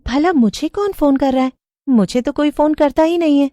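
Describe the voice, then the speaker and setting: An anxious young woman from a horror cartoon, acted out by a female narrator. Her voice sits high and sounds close and dry. She speaks expressively, with hesitant questions and a lonely, uneasy temper. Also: moderate pace, warm tone, no echo, natural voice. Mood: bored, puzzled. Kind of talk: dramatic cartoon story voice acting.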